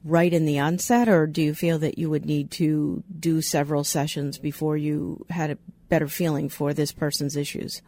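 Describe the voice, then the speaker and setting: A middle-aged woman hosting a conversational interview, speaking in a measured, articulate and reflective way. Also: low voice, level tone, warm tone. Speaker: interviewer, host.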